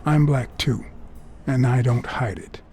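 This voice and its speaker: An actor playing a hard-boiled private detective, a wry, brooding cat who speaks in measured, terse phrases in a film noir game. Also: male voice, mid-range voice, mellow, natural voice. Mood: steady, terse. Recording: music behind the voice.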